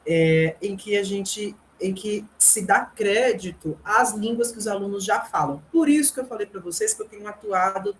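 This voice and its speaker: A woman's voice: mid-range voice, moderate pace, hardly any pauses, a little hiss or hum. A middle-aged woman with a Brazilian Portuguese accent, a language teacher answering interview questions earnestly, with frequent tag questions and small restarts. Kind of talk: explanatory interview talk.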